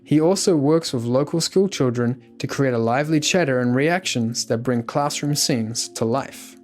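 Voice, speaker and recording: Male documentary narrator over soft music. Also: mid-range voice, normal speed. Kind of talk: scripted narration. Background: background music.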